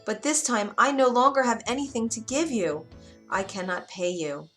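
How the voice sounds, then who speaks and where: A woman's voice: fairly high pitch, moderate pace, a bit bright, soft background music, pitched above the performer's own voice, lightly acted. A woman voicing an anxious but resolute young woman in a storybook, with earnest, emotional delivery. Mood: worried. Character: anxious, pleading young miller's daughter, later a resolute queen.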